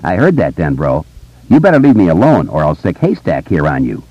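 A man's voice: deep voice, wildly swooping pitch, a little fast, no echo, highs cut off, exaggerated voice. A man voicing a hyperactive, wisecracking boy show-off with a brash, teasing, theatrical manner in an audiobook.

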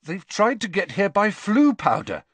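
A man's voice: high-pitched, wildly swooping pitch, unhurried, a bit bright, husky, no room sound, voice pushed up, full character voice. A man voicing an earnest, polite teenage boy calling out urgently in an audiobook. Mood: explaining. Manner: urgent, explaining.

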